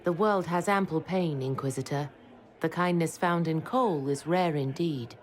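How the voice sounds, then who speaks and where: Kindly female bard for a fantasy game, played by a woman: lowish, gracious, serene, soft crowd behind. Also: normal speed, close to an everyday voice. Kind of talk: video game dialogue acting.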